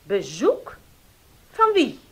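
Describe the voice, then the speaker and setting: A woman's voice: wildly swooping pitch, drawn-out words, breathy edge, exaggerated voice. An actress playing a scolding, penny-pinching middle-aged mother in a 1970s radio play. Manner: scolding, shrill.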